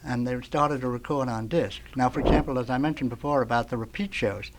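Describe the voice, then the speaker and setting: A man's voice: medium pitch, background noise. Older man telling rambling radio anecdotes. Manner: rambling, halting, groping for words. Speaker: radio veteran guest, former sound effects man. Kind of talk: anecdotal storytelling on a talk show. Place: radio studio.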